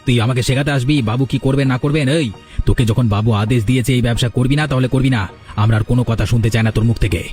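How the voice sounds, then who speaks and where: A man's voice: mid-range voice, fairly even intonation, very fast, darkish tone, soft background music, natural voice. A man playing a landlord's bullying henchman in a cartoon, hectoring and threatening. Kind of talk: cartoon character dialogue.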